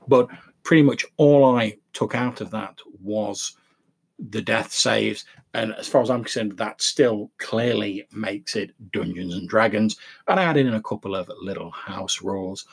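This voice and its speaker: A man hosting a podcast, talking reflectively in a measured, explanatory and courteous way. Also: lowish voice, creaky, slightly rough. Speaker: podcast host. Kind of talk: reflective solo podcast talk.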